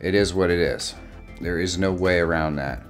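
A middle-aged American man hosting a casual software walkthrough. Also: deep voice, moderate pace. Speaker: tutorial host. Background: light background music.

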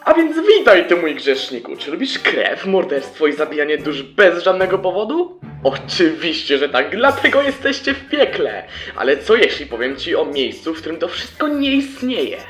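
A man voicing a smarmy, mocking demon pitchman in an animated fan dub, announcing theatrically like an old-time advertisement. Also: moderate pace. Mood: mocking salesmanship. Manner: theatrical, sardonic. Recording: echoey, music behind.

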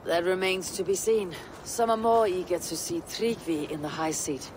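An actress playing a stoic, thoughtful Viking warrior woman in a video game, husky, grave and measured. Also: fairly low pitch, background noise, lightly acted. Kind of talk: video game dramatic acting.

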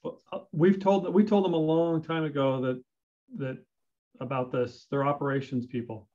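Male staffer, fairly high voice, hesitant meeting talk, lo-fi call sound. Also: steady tone, normal speed, warm tone. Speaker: city public works staff member. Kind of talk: measured meeting discussion. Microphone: video call. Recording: dull, lo-fi video call audio.

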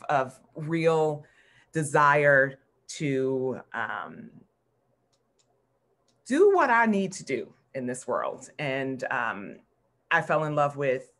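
An American woman in her forties giving an informal career talk as a professor, reflective and halting, with emphatic repetitions. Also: low-pitched. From Newark, New Jersey, United States. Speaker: art history professor, guest speaker. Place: indoor room at home, on a video call. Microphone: video call computer microphone.

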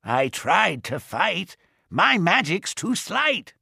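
A man voicing a small, grieving male house brownie who speaks in slow, singsong rhymes with a gravelly voice. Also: high-pitched, very animated, pushed and bright, no room sound, studio quality, pitched above the performer's own voice, full character voice. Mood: distraught. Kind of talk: audiobook character voice.

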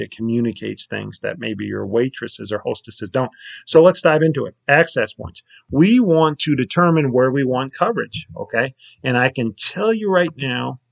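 A man in his thirties explains a technical setup in a relaxed, plain-spoken way, often checking in with the listener. His mid-pitched voice has a gravelly, fry-heavy edge. He talks into a webcam mic in a small office. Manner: casual, plain-spoken, checks in with "okay?" and "right?". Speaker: security installer giving a tutorial. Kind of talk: screen-share tutorial.

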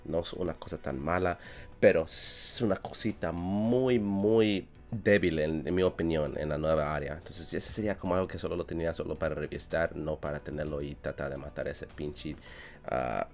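Deep-voiced man with an English accent in his Spanish. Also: vocal fry. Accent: English-speaking learner of Spanish. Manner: chatty, self-deprecating, restarts words, laughs often. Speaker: gaming YouTuber. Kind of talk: casual game commentary. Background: soft background music.

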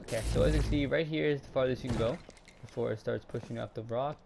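A young man narrating a gameplay walkthrough casually, hesitating a lot with ums and restarts, his throat a little raspy. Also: mid-range voice, background noise. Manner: halting, many ums and restarts. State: slightly raspy throat, clearing it. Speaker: gaming YouTuber.

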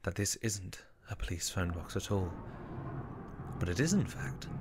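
A man's voice: deep voice, lively intonation, a little slow. A man reading scripted narration for an audio drama. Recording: faint background noise.

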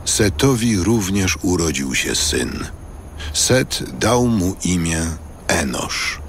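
A man narrating scripture as a solemn, measured storyteller in a scripted production. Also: deep voice, very animated, slight background noise. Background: loud music.

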